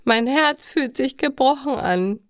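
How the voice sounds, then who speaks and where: A heartbroken young woman played by a female narrator laments in a storybook reading. Her voice is raised high and emphatic, slow and tearful, in a dry recording. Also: animated, a bit bright, no echo. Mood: heartbroken despair.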